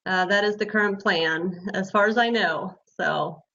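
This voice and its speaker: A woman's voice: medium pitch, a little slow, pushed and bright, a little hiss or hum. A middle-aged woman from Sweden, a native Swedish speaker, answering questions as a guest in a casual interview. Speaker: interview guest, author and blogger. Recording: slightly muffled online call audio.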